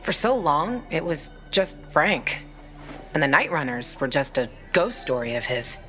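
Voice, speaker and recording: A young woman fighter in a game scene. Her voice sits fairly low, and she talks frankly with a wry, guarded edge over music. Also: sing-song, measured pace, a bit bright, full character voice. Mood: relieved, wry. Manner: candid, wry, swearing. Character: tough, candid young woman fighter, guarded but healing. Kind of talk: video game cutscene acting. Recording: music behind the voice.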